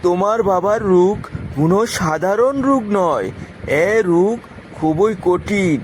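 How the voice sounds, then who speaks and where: A man's voice: high-pitched, expressive, unhurried, a little mellow. A man voicing a grave hermit healer in a children's cartoon, solemn and instructive, with noise behind him. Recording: clear outdoor traffic-like background noise.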